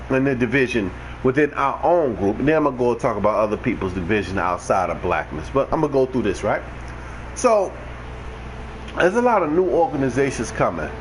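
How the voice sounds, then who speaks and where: A man's voice: fairly high pitch, slight background noise, low-quality recording. A man giving a blunt, ranting, preachy monologue to his livestream viewers over a headset mic. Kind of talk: unscripted monologue.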